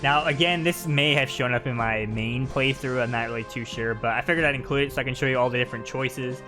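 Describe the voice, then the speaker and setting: A man's voice: medium pitch, quick pace. A man giving casual, informal and chatty commentary on a video game. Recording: game music behind the voice.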